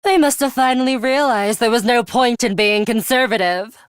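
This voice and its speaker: A woman playing a stern, ruthless young female fighter in a video game, speaking in a terse, measured and commanding manner. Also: a little high, clear, resonant tone, no echo, studio quality, close to an everyday voice. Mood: cool, analytical. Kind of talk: scripted video game voice acting.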